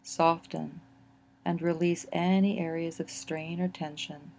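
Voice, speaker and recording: A woman narrating a guided relaxation exercise in a gentle, soothing manner, with unhurried phrasing and pauses. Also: low voice, flat delivery, vocal fry, a little hiss or hum.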